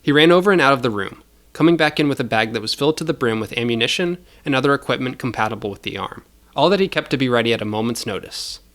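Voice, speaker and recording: A man's voice: medium pitch, expressive, fast talker, a bit bright. A man narrating scripted audio fiction as the storyteller.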